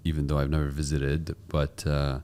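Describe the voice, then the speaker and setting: A man's voice: a bass voice, completely flat delivery, moderate pace, dark tone, clear, resonant tone. A man from the United States and the UAE hosting a podcast, interviewing a guest in a casual conversational way. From born in the United States, raised in the UAE from 1992.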